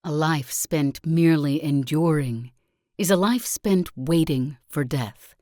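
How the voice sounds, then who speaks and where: A low-voiced female sect leader for a strategy game. She delivers her lines slowly and solemnly, like a preacher who is wholly sure of her creed. The sound is dry and close. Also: a little mellow, no echo, full character voice. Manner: solemn, deliberate, sermon-like oratory. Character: zealous self-help guru and sect leader, preaching with calm conviction. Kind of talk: scripted video game character monologue.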